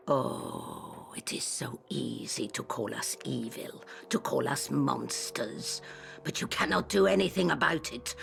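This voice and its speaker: A woman voice acting a defiant, menacing middle-aged peasant mother with a gravelly voice in a video game. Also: sits low, heavily acted. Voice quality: gravelly, creaky. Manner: defiant, scolding, hissing emphasis. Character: menacing, sanctimony-hating murderous peasant mother.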